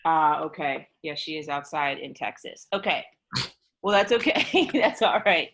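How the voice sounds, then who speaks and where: A woman's voice: sits low, expressive, unhurried, a bit bright. Woman leading a discussion with questions. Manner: prompting with questions. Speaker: storytime host and teacher. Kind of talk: interactive read-aloud discussion.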